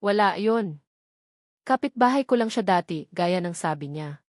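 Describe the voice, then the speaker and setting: A woman's voice: on the low side, animated, unhurried, darkish tone, dry recording. A frightened young housemaid who answers in short, guarded replies, as a woman reads her out. She dodges every question, and the voice is dry and close. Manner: evasive, guarded.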